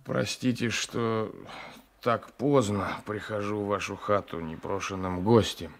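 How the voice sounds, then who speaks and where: An actor playing a weary, courteous gendarme seeking shelter in a 1980s Soviet radio drama, measured and halting, on a noisy old recording. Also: male voice, on the low side, fairly even intonation.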